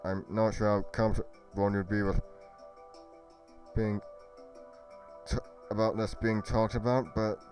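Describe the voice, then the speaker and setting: Man acting a solemn, low-voiced fantasy adventurer. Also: little pitch movement, a little slow, long pauses, a little mellow, faint music behind, natural voice. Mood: solemn explaining. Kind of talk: amateur fantasy roleplay voice acting.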